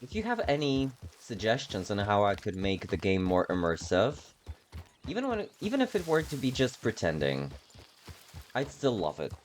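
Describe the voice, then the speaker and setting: Low-pitched man, lively gameplay chatter. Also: moderate pace, a little hiss or hum. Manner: rambling, self-mockingly silly, playful asides. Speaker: streamer playing a video game. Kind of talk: casual gameplay commentary.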